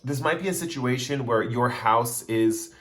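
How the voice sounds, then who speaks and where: A man's voice: mid-range voice, a little slow, a bit bright. A man giving a casual pick-a-card tarot reading, talking straight to the viewer in a loose, rambling way that restates its points. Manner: chatty, speaks straight to the viewer. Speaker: tarot reader.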